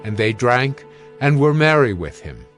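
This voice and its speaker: A man's voice: medium pitch, big pitch swings, a little slow, a bit bright, soft background music. Male narrator reading scripture.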